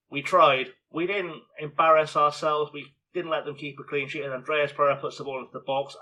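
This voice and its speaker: A man with a scratchy, hoarse voice giving chatty, self-mocking play-by-play commentary on a football management game. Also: fairly high pitch, pushed and bright. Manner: chatty, self-mocking, narrates match action. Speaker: video game streamer.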